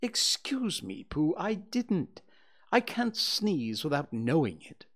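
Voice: medium pitch, very animated, slow pace, fairly bright tone, husky, voice pushed up, full character voice. A storybook reader voicing a pompous, long-winded owl who acts learned and declaims things. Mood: indignant.

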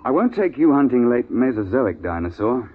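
A man's voice: medium pitch, big pitch swings, normal speed, a little hiss or hum, lightly acted. An actor playing a seasoned, worldly British big-game guide with a blunt, clipped old-boy manner in a 1950s radio drama. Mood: firm, blunt. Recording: vintage 1950s radio, narrow band.

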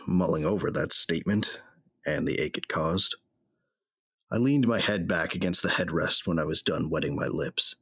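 A man's voice: sits low, gravelly, a bit bright, husky, no room sound, clean studio sound, exaggerated voice. An actor playing a grieving, hard-drinking bounty hunter who narrates his story in a subdued, weary, reflective way in an audio drama. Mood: bitter, nostalgic. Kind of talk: first-person audio drama narration and dialogue.

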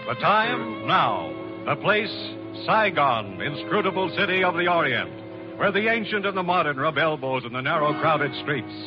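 Casting: vintage American male announcer, high and animated, theatrical delivery over clear music. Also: normal speed. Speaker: radio announcer. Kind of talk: dramatic 1940s radio announcing. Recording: muffled old radio recording, music behind.

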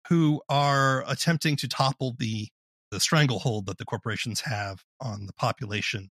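A man's voice: mid-range voice, pushed and bright, dry recording. A man hosting a podcast interview, summarizing and asking questions in a conversational way.

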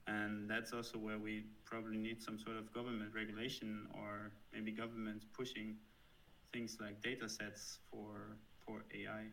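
A man's voice: fairly low pitch, completely flat delivery, moderate pace, gravelly, fairly bright tone, a little hiss or hum, low-quality recording. A man in his thirties with a German accent, answering interview questions thoughtfully, with frequent fillers and restarts. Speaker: software developer guest.

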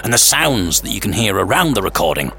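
Theatrical storyteller with animated delivery. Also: male voice, fairly low pitch, slight background noise. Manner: theatrical, suspenseful pauses; wry, dry humour. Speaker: podcast host. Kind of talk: scripted storytelling narration. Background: music.

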